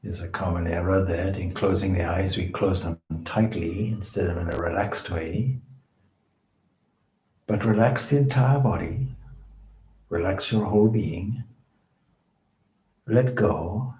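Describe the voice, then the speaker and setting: A man leading a guided meditation as a spiritual teacher, speaking in measured short phrases with pauses between them. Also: deep voice, dark tone, slight background noise. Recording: echoey room.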